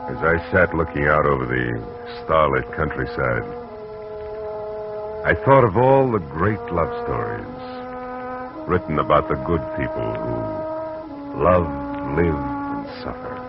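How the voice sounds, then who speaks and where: An actor playing a world-weary private detective who narrates in a dry, laconic, hard-boiled way, in a 1940s radio drama on an old lo-fi broadcast. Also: male voice, a bass voice, huge pitch swings, slow pace, loud music behind the voice, heavily acted.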